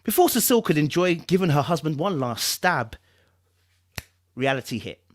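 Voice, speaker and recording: A man's voice: a little high, big pitch swings, moderate pace. London man hosting a dramatic book stream. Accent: British, London. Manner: informal, repetitive, checks in with listeners. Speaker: live-stream host and book reader. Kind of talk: casual chat and dramatic book reading.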